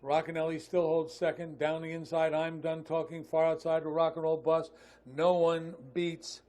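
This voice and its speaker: A man's voice: a little high, completely flat delivery, moderate pace, a little mellow. A man giving live harness race commentary, calling positions in clipped running phrases. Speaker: harness racing announcer.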